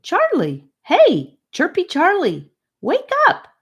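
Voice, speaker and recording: A woman's voice: very high voice, huge pitch swings, takes it slowly, muffled recording, voice pushed up, exaggerated voice. A woman voicing a playful, teasing, carefree boy who calls out bouncily in a fantasy audiobook. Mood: playful calling. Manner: loud, bouncy calling out.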